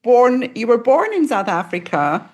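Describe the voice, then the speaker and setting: A woman's voice: a little high, very animated, a little slow, a little mellow, muffled recording. A woman hosting an interview podcast who talks in an effusive way and heaps praise on her guest. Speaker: podcast host.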